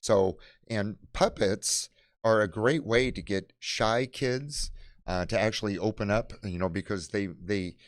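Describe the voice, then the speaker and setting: Give this presenter a lowish male voice with a halting, filler-strewn delivery. He talks off the cuff as he shows products. The sound is very dry, with no room to it. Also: no room sound. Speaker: presenter of library program kits.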